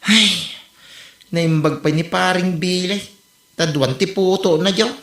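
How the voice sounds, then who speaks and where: A woman with an Ilocano Filipino accent acting a gullible, excitable husband in an amateur comedy audio drama. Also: fairly low pitch, measured pace. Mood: wondering, wistful. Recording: roomy, echoing amateur podcast recording.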